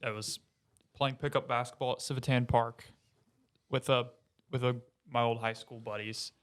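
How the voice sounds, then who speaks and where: A man speaks in a flat, mid-pitched voice in a casual podcast chat. He feels his way through sentences haltingly, searching for the right words. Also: clear, resonant tone. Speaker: podcast panelist.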